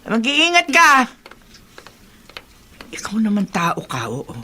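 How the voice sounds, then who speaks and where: An actress playing a frank, kindly neighbour woman in a Filipino radio drama, chatty and gently chiding. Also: on the high side, wildly swooping pitch, slow pace, slight background noise, full character voice. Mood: chiding, friendly. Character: frank, kindly neighbour woman who chides her friend.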